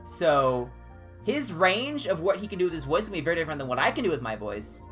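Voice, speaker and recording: A man with a higher-pitched voice, chatting casually and discursively as a game streamer. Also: a little fast, faint music behind. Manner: animated, discursive, jumping between tangents. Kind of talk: casual rambling livestream chat during gameplay.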